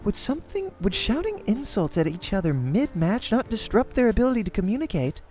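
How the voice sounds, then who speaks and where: Aloof young female gamer, played by a man, polite and measured visual novel read, faint music behind. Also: sits high, very animated, darkish tone, voice pushed up. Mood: reserved, curious. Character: reserved, calculating young woman gamer, formal and polite. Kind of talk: amateur visual novel character acting.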